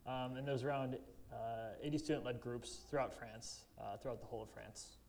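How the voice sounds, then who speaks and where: A man's voice: medium pitch, fairly even intonation, fairly quick, creaky, darkish tone, slight background noise. A young man working in campus ministry, presenting with slides in a casual way with joking asides.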